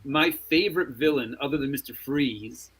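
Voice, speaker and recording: A man's voice: medium pitch, normal speed, fairly bright tone, breathy edge, rough recording. A man chatting casually about pop culture as a podcast panelist.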